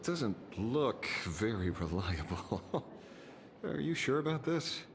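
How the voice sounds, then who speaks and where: An actor playing a calm, sceptical detective in a video game, with measured, understated delivery. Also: male voice, fairly low pitch, background noise, exaggerated voice. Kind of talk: video game character acting.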